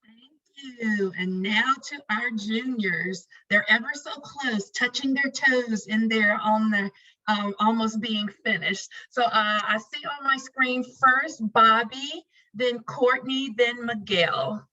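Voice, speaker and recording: A woman's voice: a little high, takes it slowly, pushed and bright. A woman moderating a panel over a video call, chatty and friendly, with many fillers. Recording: dull lo-fi call audio.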